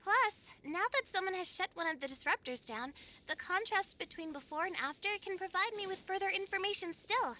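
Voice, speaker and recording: Very high, bright young female scholar. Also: lively intonation, a little fast, a little hiss or hum, heavily acted. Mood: composed, musing. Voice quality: bright, youthful. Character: young woman scholar, second personality, composed, thoughtful, self-assured. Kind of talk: anime-style video game voice acting.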